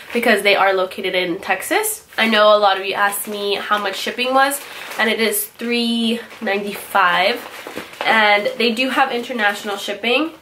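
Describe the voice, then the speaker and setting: Twenties woman vlogger, bright and slightly nasal from illness, rambling and self-correcting, recorded at home in a small bedroom. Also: medium pitch, slight background noise. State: nasal from a cold. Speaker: beauty vlogger. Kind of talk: casual haul video chat. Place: small bright bedroom with louvered closet doors.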